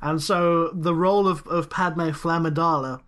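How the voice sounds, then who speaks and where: A man's voice: on the high side, fairly even intonation, unhurried, a bit bright. A man co-hosting a podcast in casual chat, making dry quips and wordplay between many ums and stammers. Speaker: podcast co-host.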